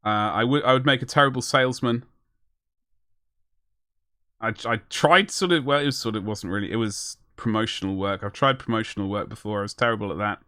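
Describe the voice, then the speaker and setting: A man's voice: on the low side, a little fast, sharp tone, clear, resonant tone, no echo, studio quality. A man chatting casually on a live stream as he paints miniatures, rambling and self-deprecating as he answers his chat.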